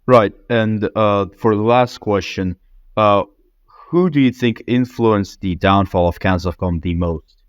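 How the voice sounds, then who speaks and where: A man asking informal interview questions in a hesitant, halting way, often stumbling over his phrasing. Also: lowish voice, clear, resonant tone, dry recording, studio quality.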